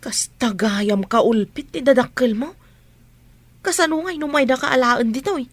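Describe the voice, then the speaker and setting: A woman's voice: high-pitched, moderate pace, no room sound. A woman acting a chatty, nosy household helper in a radio comedy drama, inquisitive and exclaiming. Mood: curious, concerned.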